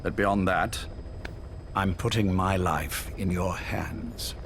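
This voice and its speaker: An actor playing an older male spymaster who speaks in a calm, courteous, probing way. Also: sits low, takes it slowly, noisy background. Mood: measured.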